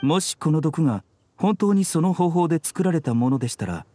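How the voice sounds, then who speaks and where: An actor playing a composed, courteous young male physician in an anime game, polite, measured and gently enigmatic. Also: a little high, animated, faint music behind, close to an everyday voice. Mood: grave. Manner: polite, measured, softly teasing.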